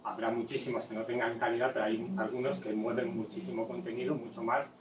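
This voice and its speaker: A man's voice: mid-range voice, flat delivery, quick pace, highs cut off. A man in a casual discussion, pressing his point in an insistent, conversational way. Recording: distant, echoey room with noise.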